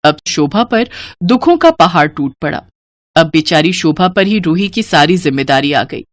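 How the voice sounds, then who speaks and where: Woman storyteller with lively, swooping pitch. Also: moderate pace, noisy background. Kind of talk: scripted narration of a moral story.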